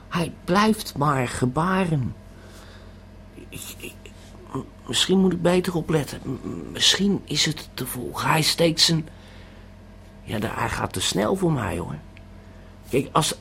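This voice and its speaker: Audio drama: male actor as bewildered, panicky tourist on a bad trip, fairly high voice, halting and slow delivery with repeated words. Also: gravelly, a bit bright, slight background noise, heavily acted. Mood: anxious confusion. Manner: stammering, hesitant, repeating words. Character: panicky, bewildered male holidaymaker tripping on a pill. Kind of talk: first-person audio drama monologue.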